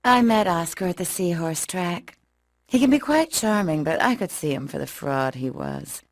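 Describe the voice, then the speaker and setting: A woman's voice: on the low side, sing-song, normal speed. A woman voicing a vain, scheming, sultry fish with a haughty drawl in a cartoon game monologue. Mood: disdainful. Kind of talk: video game cutscene monologue.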